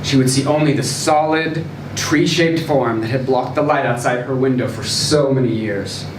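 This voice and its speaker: A man giving a dramatic live reading of a short story, deliberate and theatrical, with pauses for effect. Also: medium pitch. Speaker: story reader at a live literary event. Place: live event in a social room, recorded from a distance. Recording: roomy distant live recording, clear background noise.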